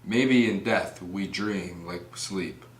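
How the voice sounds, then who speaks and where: Man voicing low, grave inner thoughts, echoey. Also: slow pace. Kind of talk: scripted plain-English paraphrase of a soliloquy. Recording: echoey room with background noise.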